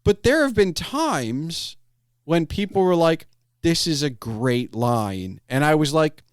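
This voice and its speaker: A man hosting a casual podcast conversation, speaking in a halting, thoughtful way. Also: on the high side, big pitch swings, clear, resonant tone, no echo, clean studio sound. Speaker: podcast host.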